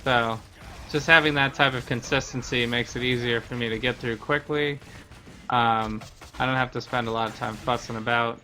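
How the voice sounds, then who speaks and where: A man talks through a game for his stream in a casual, explanatory way. His voice has a bright, crisp edge. The intonation stays fairly level as he chats. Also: medium pitch, steady tone, normal speed, slight background noise. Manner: explanatory, conversational. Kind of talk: casual gameplay commentary.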